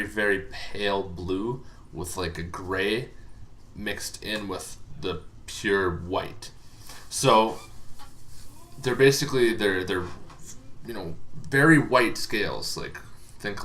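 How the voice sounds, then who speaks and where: Bright-voiced man, lowish pitch, casual explainer. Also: slight background noise. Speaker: host of a tabletop gaming lore show. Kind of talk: casual explainer talk to viewers.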